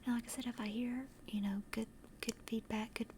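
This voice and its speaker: A woman chatting casually to livestream viewers in a soft, breathy ASMR whisper, gentle and rambling, close to the microphone. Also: fairly even intonation, brisk, a little hiss or hum. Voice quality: soft, breathy whisper and hushed low-volume speech. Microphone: close directional microphone.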